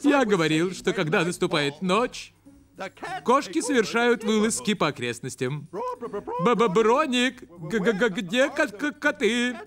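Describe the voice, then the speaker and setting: A man's voice: sits high, lively intonation, measured pace. A man voicing a fussy, earnest cartoon bird presenter with a theatrical, indignant manner. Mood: flustered presenting. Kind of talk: exaggerated children's cartoon dubbing.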